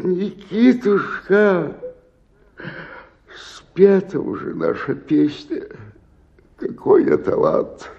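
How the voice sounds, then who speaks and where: A declaiming, maudlin old stage tragedian. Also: male voice, fairly high pitch, gravelly, warm tone, muffled recording, heavily acted. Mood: bitter, despairing. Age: older. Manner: declamatory, grandiloquent, impassioned. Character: drunken old provincial actor, theatrical, maudlin, swinging from rapture to despair. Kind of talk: theatrical radio drama acting with declaimed verse.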